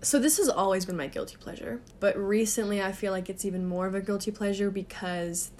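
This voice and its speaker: A woman's voice: mid-range voice, fairly even intonation, a little fast, a little mellow, a little hiss or hum. A woman in her twenties from Texas, co-hosting a podcast and telling stories in a chatty, giggly way. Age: 20s. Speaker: podcast co-host. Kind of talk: casual chat.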